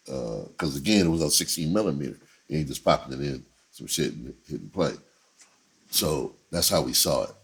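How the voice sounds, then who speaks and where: Middle-aged male storyteller, low-pitched and raspy, loose slangy delivery, off-the-cuff and meandering. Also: a bit bright. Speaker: entertainer and filmmaker telling his own story. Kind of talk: casual storytelling.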